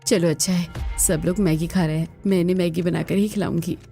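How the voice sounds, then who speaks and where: A woman voicing the women of a cartoon household with an expressive, theatrical delivery. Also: on the low side, a little fast, vocal fry, soft background music. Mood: pleased. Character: women of a cartoon household, scheming young daughter-in-law and exasperated elders. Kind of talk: dramatised cartoon dialogue.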